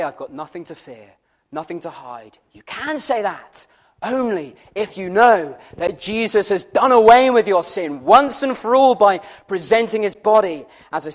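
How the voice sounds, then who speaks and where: A male preacher delivers an evangelistic sermon in a distinctly high-pitched voice. His delivery is fervent and solemn, with strong emphasis on key words. He piles up repeated phrases and presses his point with rhetorical questions.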